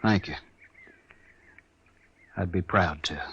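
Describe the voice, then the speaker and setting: A guilt-ridden townsman in a 1950s radio western. The voice sits quite low. He is hesitant and humble, feeling his way through rustic phrases. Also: highs cut off. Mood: ashamed. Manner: halting, folksy, rustic speech.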